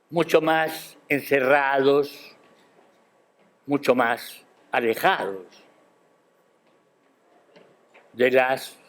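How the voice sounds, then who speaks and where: An older man giving a formal academic speech at a university ceremony, measured and emphatic, posing rhetorical questions. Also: medium pitch. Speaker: guest speaker at a university ceremony. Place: university auditorium.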